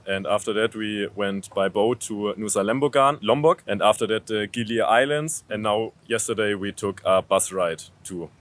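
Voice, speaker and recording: Lowish German-accented man, casual. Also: moderate pace, hardly any pauses, fairly bright tone. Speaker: traveler being interviewed. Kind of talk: casual interview answers.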